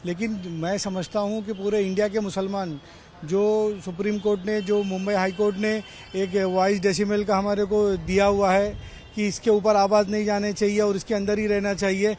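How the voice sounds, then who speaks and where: Male cleric, Indian accent, high voice, formal and courteous, interviewed with faint traffic around. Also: flat delivery, moderate pace, a little mellow. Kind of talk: street interview statement. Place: outdoors on a city street. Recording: outdoor street, faint traffic noise.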